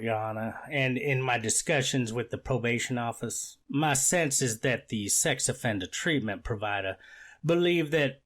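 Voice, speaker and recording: A man playing an earnest, stern courtroom official in a readers theater reenactment, speaking in a measured, deliberate way. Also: medium pitch, fairly bright tone, natural voice.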